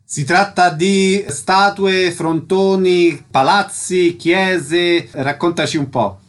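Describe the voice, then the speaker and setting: Man, slightly high voice, radio interviewer, concise questioning style. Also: fairly even intonation, slow.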